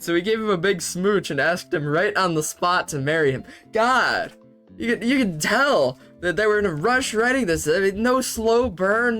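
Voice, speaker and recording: A man's voice: high voice, a bit bright. A young man hosting a comedy video, reading fanfiction aloud with sarcastic asides and frequent laughter. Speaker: host.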